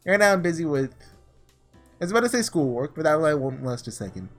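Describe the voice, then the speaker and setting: A man doing a casual let's play, reading story text aloud and breaking off into excitable asides, with game music behind him. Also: a little high, fast. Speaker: gaming video creator doing a blind playthrough.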